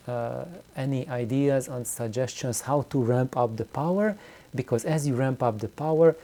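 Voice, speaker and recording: A middle-aged man giving an unscripted, reflective explanation to viewers, haltingly and with words repeated for emphasis. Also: mid-range voice, mellow. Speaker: audio hobbyist video host.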